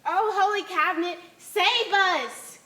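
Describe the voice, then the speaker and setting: Woman as a very high-voiced pious zealot. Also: slow pace, bright, forceful tone, heavily acted. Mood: aghast. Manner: melodramatic, formal exclamations. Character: alarmed, pious zealot of a blue cult. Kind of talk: stage play acting. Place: theatre stage. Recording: noisy live stage recording.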